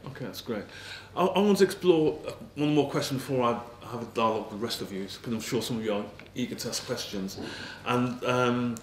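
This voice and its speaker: A man chairing a festival panel, putting measured questions to the writers. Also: mid-range voice, a little hiss or hum. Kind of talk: moderating a panel discussion. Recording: echoey room, mic at a distance, faint ambience.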